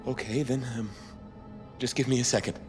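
An actor playing a frightened, devout condemned man in a video game, speaking solemnly and haltingly with earnest feeling. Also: medium pitch, steady tone, close to an everyday voice. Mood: afraid, hesitant. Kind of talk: video game dramatic acting. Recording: music behind the voice.

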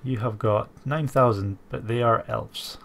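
A man's voice: medium pitch, fairly even intonation, a little slow, creaky, fairly bright tone, faint music behind. A man with a stuffy cold, musing aloud with fillers as he hosts strategy game commentary, with keyboard typing behind him. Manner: musing aloud while playing, many fillers. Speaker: gaming channel host.

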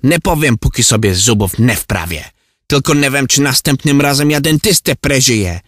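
A man's voice: medium pitch, animated, normal speed, fairly bright tone, no echo, studio quality. A man playing a hapless, exasperated Czech lost in Poland, ranting in a comic monologue with a Czech accent. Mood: pleading despair. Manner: flustered ranting in garbled mock Czech-Polish.

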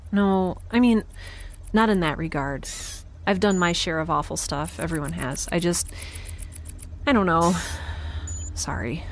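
A woman's voice: fairly low pitch, unhurried, pauses often. A woman playing a chatty, self-doubting young spacefarer in an audio drama, with a casual, hesitant delivery. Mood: awkward, sincere. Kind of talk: naturalistic audio drama acting. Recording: faint background noise.

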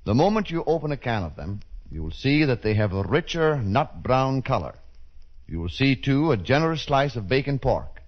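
A dull vintage radio recording of a man announcing in 1930s style. His voice is mid-pitched. The scripted delivery is formal and polished. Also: big pitch swings, moderate pace.

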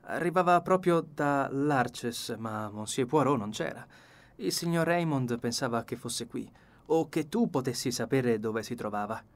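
A man's voice: medium pitch, lively intonation, measured pace. A man voicing a nosy, insistent middle-aged sister in an audiobook, with a pointed, prodding manner. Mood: eager, gossipy. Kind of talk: audiobook character dialogue.

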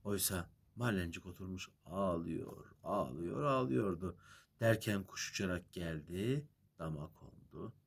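A man reading a bedtime fairy tale aloud as an expressive, dramatic storyteller. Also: low-pitched, a little slow, gravelly, husky.

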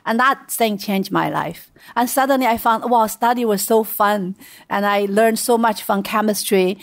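A woman's voice: mid-range voice, moderate pace, gravelly, dry recording. Chatty woman with a Chinese accent. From China. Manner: chatty storytelling with light laughs. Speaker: guest, venture investor. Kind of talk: casual interview.

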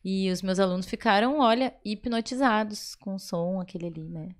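A woman's voice: medium pitch, a little fast. Southern Brazilian woman, enthusiastic podcast guest. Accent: southern Brazilian (gaúcho). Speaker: podcast guest, children's music teacher. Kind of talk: casual interview chat. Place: small indoor podcast studio. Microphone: studio microphone on boom arm.

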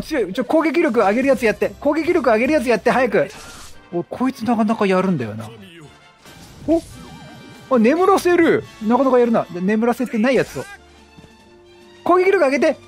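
A man doing chatty game commentary, animated, talking to himself and to the characters on screen. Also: very high voice, normal speed, long pauses, soft background music. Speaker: gameplay commentator.

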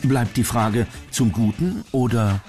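A man narrates a television countdown documentary in a scripted delivery. His voice sits at a medium pitch, with music playing clearly behind him. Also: unhurried. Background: background music.

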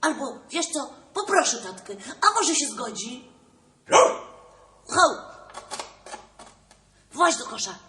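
A woman's voice: high-pitched, big pitch swings, slow, long pauses, heavily acted. A woman playing a sweet, eager young boy bear in a children's play, with an exclamatory, wheedling manner. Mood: tender. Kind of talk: children's musical theatre dialogue. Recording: roomy, distant, clearly noisy stage recording.

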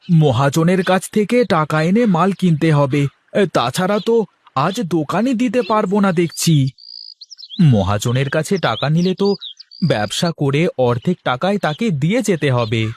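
A humble village vegetable seller, played by a man, talks in a fairly high male voice. He is worried, deferential and plain-spoken, in the manner of cartoon dialogue. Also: sing-song, moderate pace.

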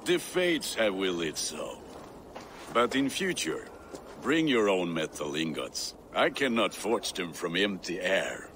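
A hearty Viking blacksmith, low-voiced and unhurried, whose pitch swings widely as he admires his handiwork. He drawls his words with craftsman's pride. Faint noise sits behind him in this game cutscene. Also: sing-song, fairly bright tone, exaggerated voice. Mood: practical. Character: gruff older male Viking blacksmith.

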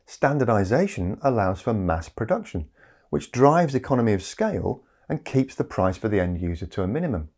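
A man's voice: deep voice, darkish tone. A man presenting a scripted explainer in a conversational way, with rhetorical asides. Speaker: video presenter. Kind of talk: scripted explainer narration to camera. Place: small indoor home study. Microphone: lapel mic.

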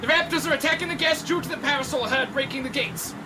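A woman's voice: sits high, fast, background noise, close to an everyday voice. A woman playing a dutiful, panicky park official with an urgent, formal manner in an audio drama. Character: panicky, dutiful park announcer pleading for order. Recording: distant voice over music.

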